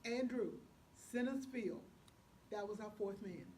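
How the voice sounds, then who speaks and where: A woman's voice: mid-range voice, measured pace, darkish tone. Emphatic woman storyteller, faint background noise. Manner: emphatic, testifying, quotes dialogue as she talks. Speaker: playwright guest. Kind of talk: casual storytelling in an interview.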